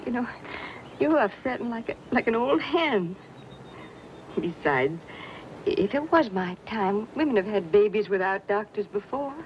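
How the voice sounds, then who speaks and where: A woman acting as a stoic, gently teasing pioneer wife with a medium-pitched voice. She is plainspoken and soothing, in radio western style. The old recording is dull, with clear noise behind her. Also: animated, unhurried, natural voice. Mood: reassuring.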